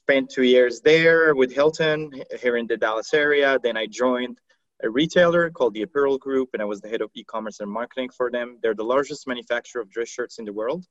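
A man from Morocco whose first languages are Arabic and French, a podcast guest telling his career story in a relaxed, steady way. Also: mid-range voice, fairly quick, dry recording, highs cut off. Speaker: podcast guest, marketing executive. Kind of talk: casual interview chat.

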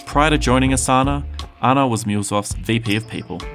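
A man's voice: mid-range voice, a little fast, a bit bright, faint music behind. A man hosting a podcast, talking in a friendly, conversational way and thinking aloud as he asks questions. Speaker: podcast host. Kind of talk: podcast interview.

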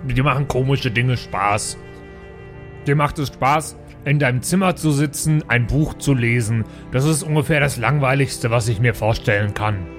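A man's voice: medium pitch, moderate pace, soft background music, lightly acted. Man playing a blunt, reckless dwarf bruiser. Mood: mocking. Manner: blunt, teasing. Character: reckless, simple, fight-loving dwarf brother. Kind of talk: improvised fantasy audio drama.

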